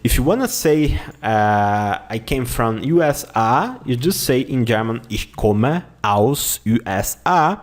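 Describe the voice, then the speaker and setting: A man with a Brazilian accent teaching an online lesson, going slowly, repeating words and often checking with "okay?". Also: medium pitch, lively intonation. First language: Portuguese. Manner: slow, repeats words and phrases often, says "okay?" a lot. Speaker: language teacher.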